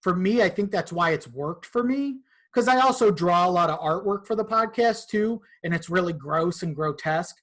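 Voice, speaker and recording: A man's voice: sits high, normal speed, hardly any pauses, fairly bright tone. A man speaking informally as a podcast guest, in a casual conversation peppered with swearing. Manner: informal, profane.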